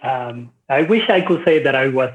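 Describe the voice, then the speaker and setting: A man of forty from Venezuela, telling his story casually as a podcast guest in a halting, thoughtful way over somewhat dull audio. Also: medium pitch, lively intonation, crowd noise. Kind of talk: casual personal storytelling in interview.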